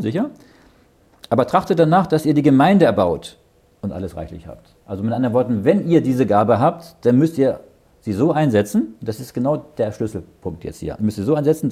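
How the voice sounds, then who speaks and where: A man's voice: medium pitch, dark tone, breathy edge. A man leading a Bible study. He reads verses aloud, explains them in plain words and often checks with "ja?". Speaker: Bible teacher.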